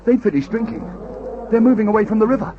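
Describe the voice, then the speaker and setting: A man's voice: high-pitched, expressive, fast. An actor playing an earnest, easily alarmed young airman in an old radio adventure serial, eager and hesitant. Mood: uneasy. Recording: noisy, dull old radio recording.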